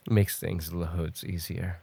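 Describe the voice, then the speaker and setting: A man playing a possessive, smugly menacing stalker in an ASMR roleplay, taunting in a mock-tender, unhurried way with a gravelly, husky voice. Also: low-pitched, level tone, mellow, full character voice. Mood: calm, menacing.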